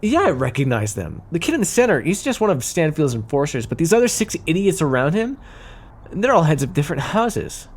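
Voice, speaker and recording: A man's voice: medium pitch, big pitch swings, moderate pace, pauses often, a little mellow, exaggerated voice. A man playing a smug, flirty, scheming mafia boss in an ASMR roleplay, teasing and theatrical. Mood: indignant. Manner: teasing, smooth-talking, theatrical. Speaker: voice actor in audio roleplay. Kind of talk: ASMR roleplay acting, addressed to listener.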